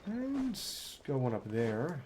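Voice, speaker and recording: A man's voice: medium pitch, wildly swooping pitch, slow, mellow, a little hiss or hum. A man giving casual gameplay commentary as a streamer, thinking aloud and reading game text out as he plays. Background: game music.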